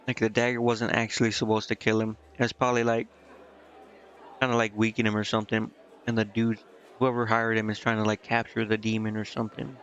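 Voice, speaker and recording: A man's voice: on the low side, completely flat delivery, fairly quick, a bit bright, clear, resonant tone, highs cut off. A man giving casual, off-the-cuff gameplay commentary as a streamer, slangy and irreverent. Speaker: video game streamer.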